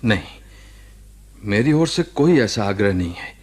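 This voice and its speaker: A husky male voice plays a sick former boss in a radio play. His pitch moves a great deal as he defends himself. He is halting, earnest and self-justifying. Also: animated. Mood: earnest, explaining. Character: ailing married former boss, defensive and pleading. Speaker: radio drama actor. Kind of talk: Hindi radio play acting.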